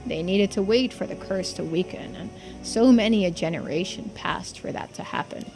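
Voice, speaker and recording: Woman voicing a creaky, folksy islander storyteller. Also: mid-range voice, moderate pace, over music, lightly acted, poor audio. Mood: earnest. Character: folksy, earnest islander recounting old island secrets. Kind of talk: voiced video game dialogue playthrough.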